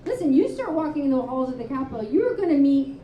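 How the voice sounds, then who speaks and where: A woman author and advocate speaking candidly at a live panel, telling stories with quick asides. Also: high voice, slight background noise. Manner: candid, storytelling, animated asides. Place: live event venue, indoors. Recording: roomy, distant, dull live-room sound.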